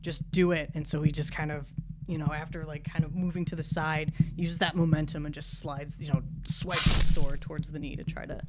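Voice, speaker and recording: A man speaking as a player in a tabletop role-playing game, casually narrating his character in halting, repeated phrases. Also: fairly high pitch, little pitch movement, very noisy. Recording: clear music bed behind the voice.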